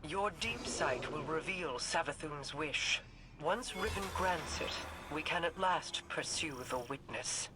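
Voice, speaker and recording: A woman playing a regal, solemn queen with formal, deliberate delivery in a fantasy video game. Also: deep voice, animated, noisy background. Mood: solemn command. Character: regal, solemn queen commanding ancient magic.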